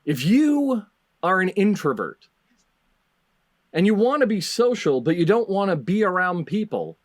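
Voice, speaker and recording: A man hosting a comedy panel game in a deliberate, mock-ceremonial way with dramatic pauses. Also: high-pitched, sing-song. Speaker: game show host and headmaster.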